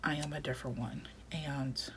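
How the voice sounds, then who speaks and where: Low-voiced woman, chatty and a bit raspy. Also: fairly even intonation, normal speed, very noisy. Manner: chatty, reflective, drifts into soft half-whispers. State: voice getting raspy from long recording. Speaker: planner vlogger. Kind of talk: casual plan-with-me chat.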